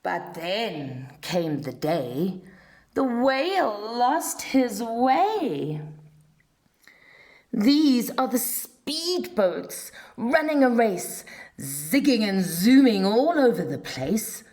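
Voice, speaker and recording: Female narrator for rhyming picture book. Expressive and dramatic, widely varied intonation, takes it very slowly. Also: fairly high pitch. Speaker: storyteller reading a children's picture book. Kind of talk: expressive read-aloud of a rhyming children's story.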